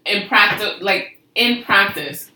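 A woman's voice: medium pitch, animated, slow, sharp tone. A woman from Latin America making pointed remarks in a casual group chat, often backing others with "mm-hmm". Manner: supportive listener, pointed remarks. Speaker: discussion panelist. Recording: echoey room, faint noise.

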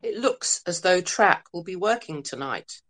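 A woman voicing a cool, calculating hacker entity in a scripted science fiction audio drama, measured and formal. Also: on the low side, animated, fairly bright tone. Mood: calculating, watchful.

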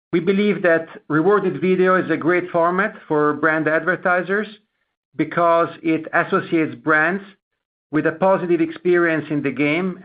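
A man speaking as a company executive, giving a measured, prepared earnings call answer with small hesitant repetitions over a conference line. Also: fairly high pitch. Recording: narrow tinny phone-line conference call.

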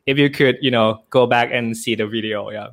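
A man's voice: medium pitch, fairly even intonation, fairly quick, a bit bright. Thai-accented man searching for words. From Thailand. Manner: searching for words, hesitant, often says "how to say". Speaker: Thai teacher and translator, guest. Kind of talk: casual podcast chat.

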